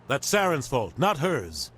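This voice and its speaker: A man playing a loyal, steady military captain in a video game, plain and firm. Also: medium pitch, measured pace, husky, heavily acted. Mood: steady, defensive.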